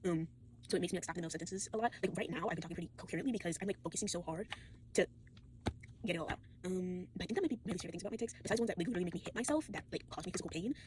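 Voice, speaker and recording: Teenage girl, fatigued, rapid rambling speech, swears, low-ish voice. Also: noisy background. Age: late teens. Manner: fast, rambling, full of fillers and restarts, swears often. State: fatigued and sore. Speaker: vlogger. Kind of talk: rambling casual monologue.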